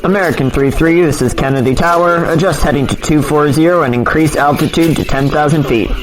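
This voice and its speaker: Man acting as higher-voiced airport tower controller. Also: fairly quick, crowd noise, natural voice, rough recording. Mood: urgent, businesslike. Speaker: air traffic controller. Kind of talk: clipped radio procedure acting.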